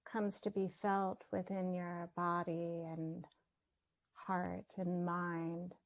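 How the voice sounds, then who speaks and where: Slow, creaky-voiced woman guiding meditation. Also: fairly low pitch, level tone, dull audio. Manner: gentle, unhurried, with long pauses between phrases. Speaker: meditation teacher. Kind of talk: guided meditation.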